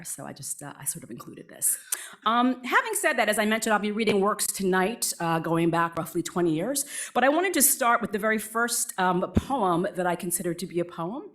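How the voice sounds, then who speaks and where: A woman in her forties, born in Vietnam and raised near Boston, talking to a live audience in a chatty, self-deprecating way. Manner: chatty and self-deprecating, lively pitch swings. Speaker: guest speaker, writer. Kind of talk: casual talk to a live audience.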